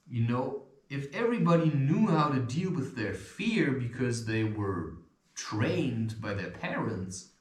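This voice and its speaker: A man with an occasional stutter giving loose, rambling spiritual advice, swearing and chuckling as he talks. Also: mid-range voice. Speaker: self-help video host. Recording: echoey room.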